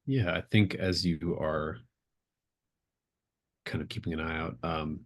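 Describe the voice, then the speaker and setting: A man with a very deep voice runs a tabletop game. He improvises the narration conversationally, feeling out each phrase as he goes and thinking aloud in a casual style. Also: measured pace. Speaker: game master. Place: remote online group call.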